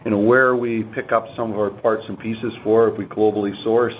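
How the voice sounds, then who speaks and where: A man's voice: lowish voice, little pitch movement, brisk. A man answering as a company executive on an earnings call, explaining informally and repeating words as he goes, on a dull, noisy line.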